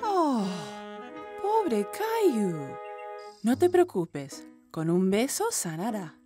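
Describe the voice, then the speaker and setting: A woman's voice: fairly high pitch, wildly swooping pitch, drawn-out words, over music, exaggerated voice. A woman voicing a tender, comforting mother in a dubbed children's cartoon, soothing and affectionate.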